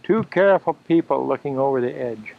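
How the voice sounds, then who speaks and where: Man, middle pitch, chatty home video commentary, repetitive dry humor, dull camcorder sound. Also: lively intonation, a little fast, creaky. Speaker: home video camera operator. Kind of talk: casual running commentary while filming. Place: outdoors on a rocky foggy seacoast. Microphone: built-in camcorder mic. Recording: muffled camcorder audio with loud surf. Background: surf and waves.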